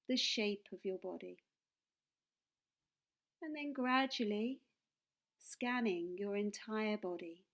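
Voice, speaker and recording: Slow, calming woman guiding meditation. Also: medium pitch. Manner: calm, soothing, deliberate with pauses. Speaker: meditation and yoga teacher. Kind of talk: guided meditation.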